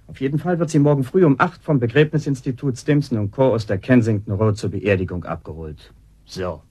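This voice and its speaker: A man's voice: medium pitch, a little fast, darkish tone, slightly rough, lightly acted. An actor in a German radio drama playing a smug, mocking con man who talks with sardonic, theatrical politeness. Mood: smugly triumphant.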